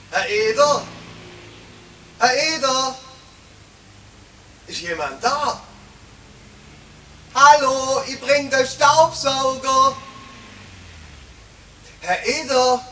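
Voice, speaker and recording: High-voiced, polite repairman. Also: bright, forceful tone, exaggerated voice. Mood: calling out. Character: polite, puzzled repairman delivering an appliance. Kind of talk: 1970s radio play acting. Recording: distant, slightly noisy 1970s radio recording.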